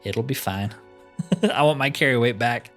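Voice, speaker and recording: A man's voice: medium pitch, a little slow, fairly bright tone, soft background music. A man giving casual gameplay commentary in a laid-back way, musing to himself as he plays. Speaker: gaming streamer.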